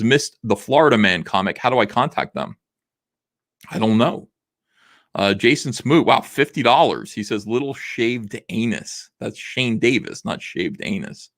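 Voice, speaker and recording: A man in his forties hosting a casual livestream, reading viewer messages aloud, rambling with lots of fillers while his voice is going rough. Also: lowish voice, a bit bright. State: losing his voice. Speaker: livestream host. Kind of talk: casual livestream chat reading super chats.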